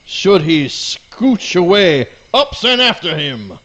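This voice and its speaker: A man plays a self-important cartoon hound with airs of a great detective. The voice is high, theatrical and swooping, in a slightly dull recording of a comic radio play. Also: sing-song, slow pace, fairly bright tone, heavily acted. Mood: pompous. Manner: pompous, grandiloquent, theatrical.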